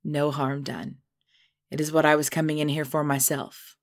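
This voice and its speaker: Woman playing a proud creature of the night, deep voice, formal n measured, quietly menacing, audio drama. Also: level tone, dry recording, studio quality. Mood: composed, formal. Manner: formal, measured, deliberate storytelling delivery.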